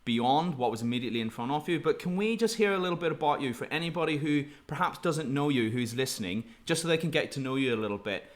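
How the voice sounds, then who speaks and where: A man's voice: on the high side, lively intonation, fast talker, few pauses. A man hosting a podcast interview, friendly and chatty, talking in loose rambling sentences. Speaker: podcast host.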